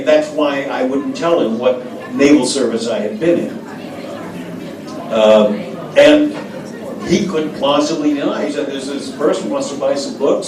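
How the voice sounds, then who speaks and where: An older American man, a former diplomat, telling anecdotes in an interview, hesitant with frequent fillers. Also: medium pitch. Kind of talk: storytelling interview answers. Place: café event room. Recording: echoey room, distant mic, background noise.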